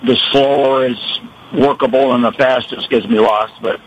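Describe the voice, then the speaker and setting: A man from Rochester, New York, calling in to a radio show; he has a gravelly voice and talks haltingly with many restarts. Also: mid-range voice, fairly even intonation, slight background noise, poor audio. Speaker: caller. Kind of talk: radio call-in.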